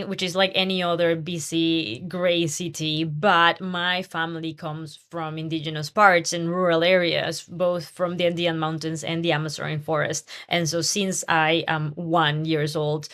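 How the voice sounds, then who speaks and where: A woman's voice: fairly low pitch, flat delivery, unhurried, few pauses, fairly bright tone. A woman from Peru, a scientist guest on a podcast, talking earnestly and passionately, often restarting her sentences. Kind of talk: podcast interview conversation.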